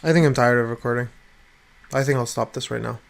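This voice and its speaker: A man talks to his webcam from his desk in a loose, rambling rant. English is not his first language, and he swears freely, stumbles and restarts his phrases again and again. His pitch sits in the middle for a man. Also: fast, vocal fry. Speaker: vlogger.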